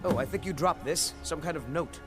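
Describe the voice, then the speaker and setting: A man's voice: fairly high pitch, brisk, close to an everyday voice. A man playing a concerned townsman in a fantasy video game. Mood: concerned, grave. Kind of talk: video game character dialogue. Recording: music behind the voice.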